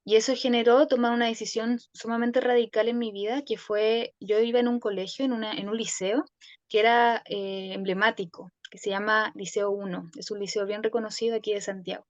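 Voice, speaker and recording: A young woman with a Chilean accent, thoughtfully telling her own story as a guest, with many pauses and restarts. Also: on the high side, steady tone, no room sound, highs cut off. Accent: Chilean Spanish. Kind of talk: reflective personal storytelling.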